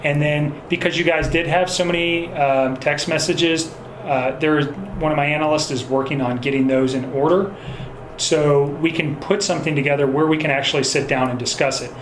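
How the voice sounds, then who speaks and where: A man speaking as a police investigator in a phone interview, choosing his words in a hesitant, measured and polite way. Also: fairly high pitch, fairly even intonation. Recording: echoey recorded phone call with faint noise.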